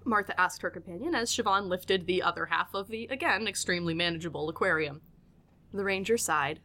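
A woman's voice: on the low side, fairly quick, pushed and bright. A woman narrating a scripted audio drama as its storyteller.

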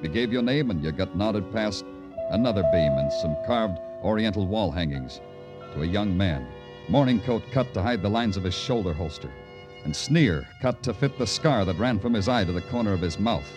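An American actor playing a world-weary, tender-hearted city detective, narrating in a poetic, hard-boiled old radio crime drama style. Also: male voice, on the low side, expressive, normal speed, natural voice. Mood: wry. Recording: old radio recording with music.

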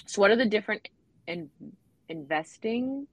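A woman's voice: mid-range voice, animated, moderate pace, dry recording, rough recording. A woman hosting an interview, asking casual, hesitant questions. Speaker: interview host.

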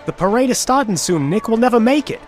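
Young detective's helper, high and animated, earnest and fretful, radio mystery with music underneath. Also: male voice, quick pace. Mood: anxious. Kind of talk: radio drama acting.

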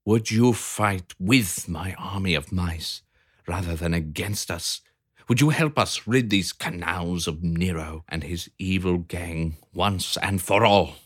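A man voicing a brave male hamster who speaks in an earnest, calm and firm way. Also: sits low, takes it slowly, husky, exaggerated voice.

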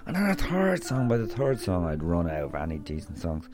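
A man's voice: deep voice, wildly swooping pitch, brisk, darkish tone. An Irish man hosting a podcast, chatting loosely and rambling through stories before interviewing a guest. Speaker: podcast host. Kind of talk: casual rambling monologue and interview.

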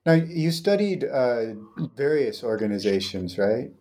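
A man's voice: mid-range voice, very animated, a little slow, mellow. A man hosting an interview, asking questions in a hesitant way and rephrasing as he goes. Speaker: podcast host.

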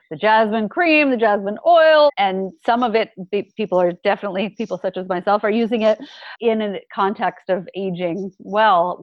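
A woman's voice: mid-range voice, no room sound, muffled recording. A woman interviewing a guest, chatty and encouraging, laughing easily. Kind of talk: casual interview.

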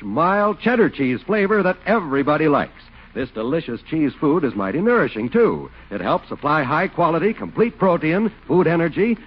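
High-pitched, animated vintage radio announcer man. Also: slightly rough. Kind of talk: 1940s radio announcing, scripted ad reads. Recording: muffled vintage radio recording. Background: music.

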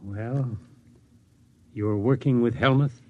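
An actor in an old-time radio drama playing a pensive, quietly doubting, disillusioned man. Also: medium pitch, slow, narrow, tinny audio, close to an everyday voice. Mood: wistful.

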